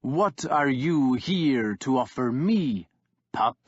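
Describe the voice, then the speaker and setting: A man voicing a scornful older male warlord who taunts slowly and snarls. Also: medium pitch, animated, husky, no room sound, highs cut off. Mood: scornful taunting. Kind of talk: audiobook character voice.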